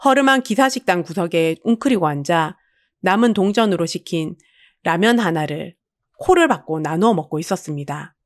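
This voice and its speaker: Female storyteller, dramatic audiobook narration, lively intonation, close dry sound. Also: fairly low pitch, dry recording.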